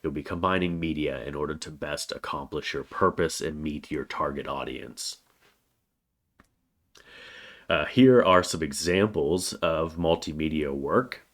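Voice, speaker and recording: A man with an extremely low, deep voice talks through a weekly course overview like a college writing teacher. He has a cold, so his voice sounds a little congested. His delivery is plain and steady, with frequent "uh" fillers between phrases. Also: normal speed, few pauses. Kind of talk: recorded course overview lecture.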